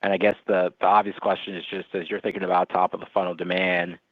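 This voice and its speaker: A man's voice: low voice, little pitch movement, fast talker, no echo, narrow, tinny audio. A man speaking as a financial analyst, putting casual, hedging questions on an earnings call over phone audio.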